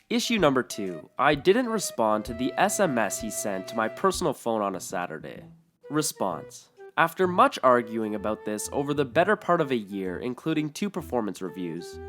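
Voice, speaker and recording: Guy reading reddit-style stories out loud, bouncy up and down voice, soft music behind. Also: mid-range voice. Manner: lively, amused, laughs now and then. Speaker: story narrator. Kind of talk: reading online stories aloud.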